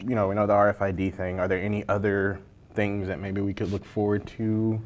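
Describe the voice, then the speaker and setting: A man's voice: on the low side, flat delivery, fairly quick. A man hosting a casual web interview, speaking informally with jokey asides. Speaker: interview host.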